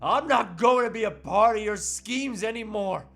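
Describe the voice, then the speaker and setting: Man playing a high-voiced, defiant everyman hero. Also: moderate pace, vocal fry, fairly bright tone, faint music behind. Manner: earnest, melodramatic defiance. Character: defiant, indignant everyman husband standing up to villain. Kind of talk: comedic table read of a movie script.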